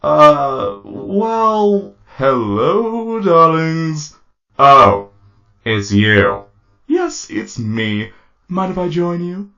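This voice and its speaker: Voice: medium pitch, expressive, very slow, sharp tone, full character voice. Flamboyant, swooping robot diva. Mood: hesitant, preening. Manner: theatrical, drawling, campy. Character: flamboyant, vain, flirtatious robot showman. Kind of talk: comic dub acting. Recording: dull lo-fi audio with music behind.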